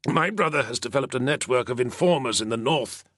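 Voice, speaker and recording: A man voicing a frightened, scheming Roman senator who pleads in a high, strained voice in an audiobook. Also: lively intonation, brisk, a bit bright, dry recording, studio quality. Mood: nervous explaining. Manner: pleading, breathless, high-strung. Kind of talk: audiobook character voice.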